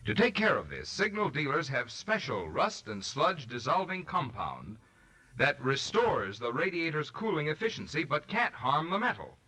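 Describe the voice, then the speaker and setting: Casting: man as 1940s radio pitchman, lively swooping delivery, crisp bright edge, polished salesmanship. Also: normal speed. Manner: polished, promotional. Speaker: radio announcer. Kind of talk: 1940s radio sponsor read.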